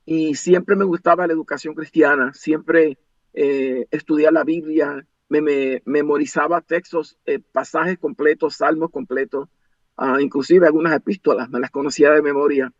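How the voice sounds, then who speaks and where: An older man in his sixties, a native English speaker, recounts his early years in a measured, reflective way, his voice sitting a touch high for a man. The audio is dull and lo-fi, with a very dry sound and no room around it. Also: steady tone, no room sound. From United States. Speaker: pastor and guest. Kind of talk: interview, personal storytelling.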